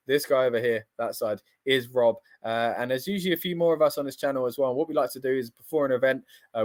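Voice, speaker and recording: A man co-hosting a casual livestream, talking fast in excited bursts and restarting his sentences. His voice is mid-pitched. The sound is dry, with no room echo. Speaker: co-host of a wrestling livestream.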